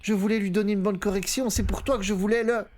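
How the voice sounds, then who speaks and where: A man as husband at breaking point, highish voice, pleading, self-justifying, apologetic, audiobook drama. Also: fairly even intonation, fast, a little mellow, lightly acted.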